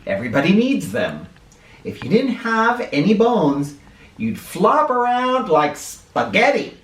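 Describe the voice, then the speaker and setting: Male children's book reader. Slow, lively sing-up-and-down delivery, instructive and direct, heard a little away from the mic. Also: high voice. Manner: expressive, instructive, addressing young listeners directly. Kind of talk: animated read-aloud of a children's picture book, with asides. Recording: roomy echo, distant from the microphone.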